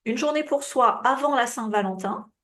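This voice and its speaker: A woman coaching on a group call in a relaxed, chatty way, heavy with fillers and check-ins, her voice a bit worn with coughing. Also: high voice, moderate pace, slight background noise. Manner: casual, advising, many fillers and tu vois. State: coughing, voice tiring. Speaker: business coach.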